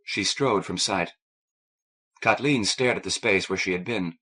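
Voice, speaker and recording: A man's voice: sits low, unhurried, sharp tone. A man reading a novel aloud as scripted audiobook narration.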